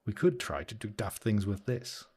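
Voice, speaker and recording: A man's voice: fairly low pitch, moderate pace, darkish tone, slightly rough. A man hosting a live stream, chatting casually and rambling while he edits photos, often laughing and answering comments from chat. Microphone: new studio microphone.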